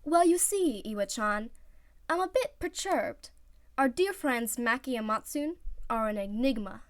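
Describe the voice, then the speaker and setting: A woman's voice: fairly high pitch, expressive, unhurried, no room sound, studio quality. A woman voicing a whiny, dramatic and playfully scheming teenage boy team captain in a story reading.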